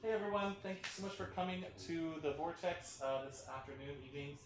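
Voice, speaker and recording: A man informally introducing a play reading as theater host, halting and full of fillers. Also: on the high side. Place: indoor theater space, in person. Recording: echoey room with loud background noise.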